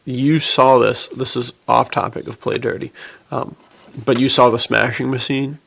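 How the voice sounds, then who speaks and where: A man's voice: medium pitch, flat delivery, moderate pace, creaky, fairly bright tone, breathy edge. An American man co-hosting a podcast, casually discussing movies with slangy enthusiasm. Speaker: podcast co-host.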